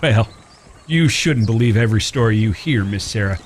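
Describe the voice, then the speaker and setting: A man acting in an audio drama as a courteous, smitten Old West drifter with a rough past, speaking folksy, polite lines in an American Western accent. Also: lowish voice, animated, moderate pace, slight background noise, lightly acted. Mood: earnest. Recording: clear background ambience with animal sounds.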